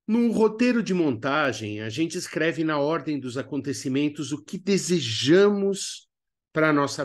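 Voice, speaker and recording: A man with a Brazilian accent narrates an instructional video lesson. His voice sits at an average pitch. He explains in a didactic way, dropping in conversational asides to the learner. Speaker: instructor of a radio drama workshop.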